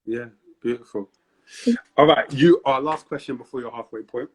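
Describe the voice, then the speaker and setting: A man's voice: medium pitch, normal speed, slightly rough. A man hosting a live-streamed interview, upbeat and hyping up the audience between questions. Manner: upbeat hype, repeats prompts to the audience. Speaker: host.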